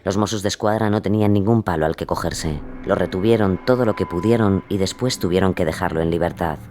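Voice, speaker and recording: A man's voice: low-pitched, fast, a little mellow. A man reading scripted narration for a dramatized true-crime story.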